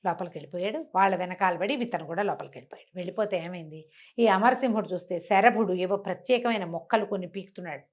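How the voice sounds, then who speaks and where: A woman's voice: on the low side, quick pace, muffled recording. A woman tells a fantasy folk tale in a conversational way, using rhetorical questions and repetition. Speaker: storyteller on a web show.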